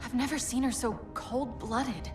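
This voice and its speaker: Woman acting a high-voiced earnest young superheroine. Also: fairly even intonation, unhurried, natural voice. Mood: accusing. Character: young Kryptonian superheroine, earnest, defiant, idealistic. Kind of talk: dramatic video game acting. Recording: roomy echo, music behind.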